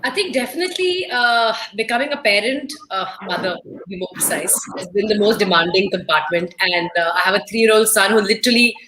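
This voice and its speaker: A woman's voice: mid-range voice, animated, fairly quick, few pauses. A woman and mother speaking candidly and wryly as a panel guest. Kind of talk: panel conversation. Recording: echoey room, bright, faint noise.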